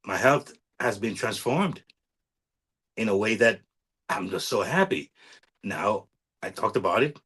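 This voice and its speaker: A man's voice: fairly low pitch, a bit bright, slightly rough. A man in his forties hosting his own podcast in a casual motivational monologue, earnestly coaching listeners and repeatedly checking in with "all right?". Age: 40s. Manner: repetitive, keeps asking "all right?"; earnest, self-promoting coach. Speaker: podcast host.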